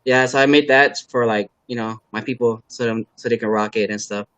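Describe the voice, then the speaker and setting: Male guest from LA, native Khmer speaker, mid-pitched, loose and rambling, dry close sound. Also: fairly even intonation, fairly bright tone, dry recording, dull audio. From Los Angeles, USA. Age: adult parent. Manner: halting, filler-heavy, laid-back. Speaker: guest, car builder and YouTuber. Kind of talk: casual interview chat.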